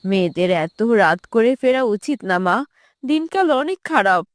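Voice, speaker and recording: A woman voicing a pious, caring, sorrowful mother in a cartoon drama, gently admonishing. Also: medium pitch, sing-song, normal speed, no room sound, voice pushed down, lightly acted. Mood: worried. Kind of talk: animated moral-drama dubbing.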